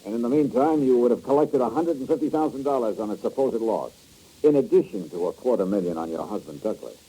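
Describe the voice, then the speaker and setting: An actor playing a tough, wry insurance investigator in a 1950s radio detective drama, blunt and sardonic in delivery. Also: male voice, mid-range voice, animated, quick pace, warm tone, husky, low-quality recording. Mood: sardonic accusing.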